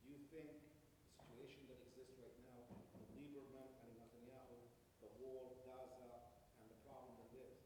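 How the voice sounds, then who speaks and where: A man in his fifties from Acre, Israel, asking a question from the audience in an earnest, halting way. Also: flat delivery. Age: 50s. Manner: earnest, halting, searching for words. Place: large lecture hall, far from the mic. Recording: distant, echoey, with rumble.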